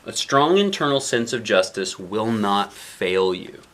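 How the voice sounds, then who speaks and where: A man's voice: on the low side, very animated, unhurried, a bit bright. A man reading a picture book aloud as a dad would, expressively, with casual asides to children and weighty emphasis on key lines.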